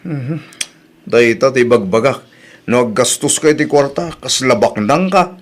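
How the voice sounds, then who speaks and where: A man's voice: mid-range voice, a little slow, close to an everyday voice. A man with an Ilocano accent acting a thrifty, grumbling working husband in an audio drama.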